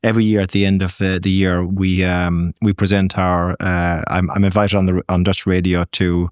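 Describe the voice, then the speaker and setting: An Irish man speaking as a business guest in a podcast interview, measured and thinking aloud with frequent ums. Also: low-pitched, flat delivery, smooth, no room sound, clean studio sound. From Ireland.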